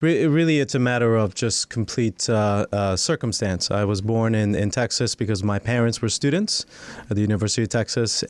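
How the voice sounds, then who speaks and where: Smooth, low-voiced man telling his story. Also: darkish tone, no echo. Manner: articulate, earnest storytelling. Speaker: guest, lawyer. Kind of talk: interview. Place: studio podcast table.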